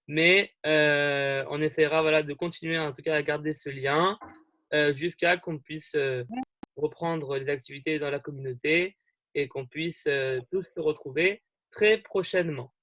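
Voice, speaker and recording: Young man rambling warmly on a video call. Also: a little high, steady tone, unhurried, no echo. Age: young adult. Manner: friendly, rambling, many fillers and restarts. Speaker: youth group leader hosting an online meeting. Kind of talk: casual talk to a group on a video call.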